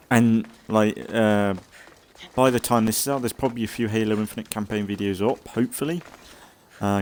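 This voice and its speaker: Low-voiced man, rambling game commentary. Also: a little mellow, a little hiss or hum. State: slightly congested from an oncoming cold. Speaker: gaming let's play host. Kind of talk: casual rambling gameplay commentary.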